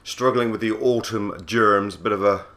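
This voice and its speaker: A man with a cold, husky and croaky, giving easygoing play-by-play commentary on a cricket video game. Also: fairly low pitch, steady tone, moderate pace, a bit bright. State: hoarse from a cold. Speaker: gaming channel host.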